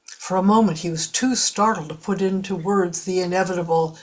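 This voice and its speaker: A woman's voice: lowish voice, fairly quick, rough recording. A woman reading scripted narration for an audio drama.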